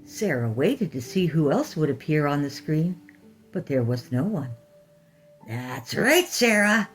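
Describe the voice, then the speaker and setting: A woman narrating a scripted horror story in a deliberate, expressive storytelling manner. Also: low voice, faint music behind.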